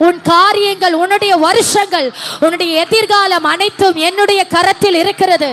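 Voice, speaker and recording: A woman's voice: very high voice, fairly even intonation, moderate pace, a bit bright, soft background music. A woman delivering a fervent prophetic prayer, speaking in tongues and repeating each line. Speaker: prophetic minister.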